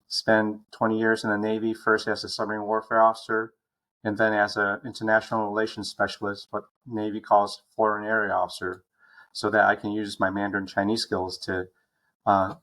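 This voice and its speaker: Low, flat-voiced man from Taiwan. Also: poor audio. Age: around 50. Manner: measured, many ums. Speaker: guest, retired navy officer. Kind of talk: casual interview.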